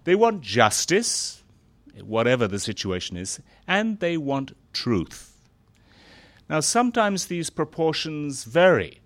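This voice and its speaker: A middle-aged man and former foreign correspondent speaking to a gathering, halting with fillers at first, then growing more animated. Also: on the high side, no echo. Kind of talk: speech to an audience.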